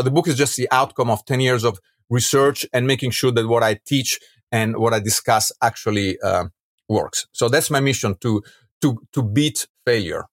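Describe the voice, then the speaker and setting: A man's voice: mid-range voice, no room sound, studio quality. A man with an Italian accent speaking conversationally as an interview guest, emphatic and often checking for agreement. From Rome, Italy. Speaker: podcast guest, entrepreneur and author.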